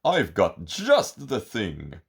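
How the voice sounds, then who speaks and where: A small, steady male storybook character who takes things in stride, voiced by a man telling the tale. The voice stays close to the reader's own, low and unhurried. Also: vocal fry, breathy edge, full character voice. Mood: confident. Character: small, steady male friend, game and practical.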